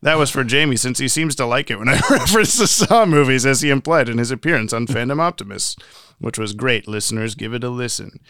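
A man with a clean, smooth, slightly high voice co-hosts a podcast in a very dry-sounding space. He reads listener messages aloud in a casual way and drops in joking asides. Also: expressive, quick pace, bright, forceful tone, no echo. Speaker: podcast co-host.

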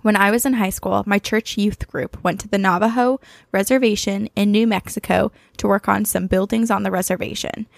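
Creaky-voiced woman co-hosting a podcast. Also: medium pitch, fairly even intonation, normal speed, no room sound, clean studio sound. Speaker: podcast co-host. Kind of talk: casual chat and reading listener emails aloud.

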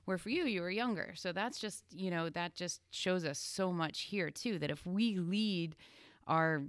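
A woman's voice: fairly low pitch, unhurried, smooth, dry recording, clean studio sound. A woman co-hosting a podcast as a youth dance coach, speaking in a supportive, thoughtful way. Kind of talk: casual podcast conversation.

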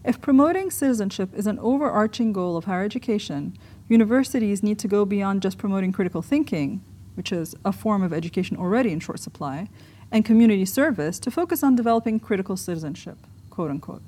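A woman's voice: mid-range voice, animated, fast, dark tone. A woman from Egypt who works as an academic, reading her own scripted essay as narration.

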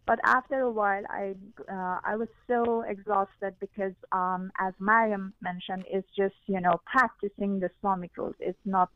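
A woman's voice: medium pitch, fairly even intonation, normal speed, few pauses, no echo. A woman from Iran with a Persian accent, a guest who earnestly recounts her questions and experiences, using many fillers. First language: Farsi. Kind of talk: interview, personal testimony. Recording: tinny phone-like audio.

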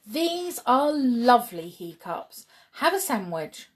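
A woman's voice: a little high, big pitch swings, slow, husky, voice pushed up, exaggerated voice. A woman voicing a group of cheerful little brownies, chirpy and polite. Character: six cheerful little brownies, fairy folk.

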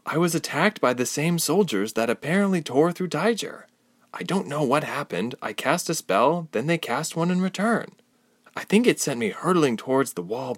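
A man's voice: a little high, no room sound, voice pushed up. A man voicing a frightened young woman with magic powers, earnest and defensive as she explains herself.

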